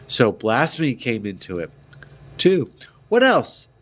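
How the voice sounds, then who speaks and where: A man voicing a gruff, wryly weary monster hunter in a storybook reading, terse and muttering. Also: mid-range voice, huge pitch swings, a little slow, a bit bright, slight background noise. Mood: dry.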